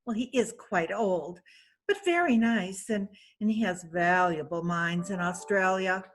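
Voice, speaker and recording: A woman's voice: medium pitch, unhurried, natural voice. A woman reading the part of a wealthy, worldly woman proud of her new fiancé, in a chatty, self-satisfied way, for a readers theatre play. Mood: boastful.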